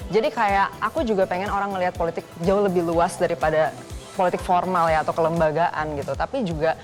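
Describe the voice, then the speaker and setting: Fast-talking young woman explaining. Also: on the low side, steady tone, soft background music. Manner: fluent, explanatory. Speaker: talk show guest. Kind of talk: studio panel discussion.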